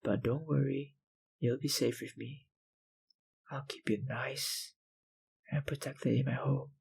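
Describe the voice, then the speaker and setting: An actor playing a possessive, powerful vampire kidnapper in an ASMR roleplay, breathy and husky, with a dominant, condescending manner. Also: male voice, medium pitch, steady tone, slow pace, darkish tone, full character voice. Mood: obsessive, explaining. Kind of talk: ASMR roleplay, dramatic monologue.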